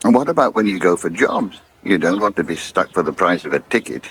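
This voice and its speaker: Husky, low-voiced old country grandfather. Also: sing-song, full character voice. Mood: earnest, persuasive. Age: 70s. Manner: gentle, plain-spoken, halting. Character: kindly, proud old farmer grandfather. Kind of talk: naturalistic radio drama acting. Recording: 1980s radio drama broadcast.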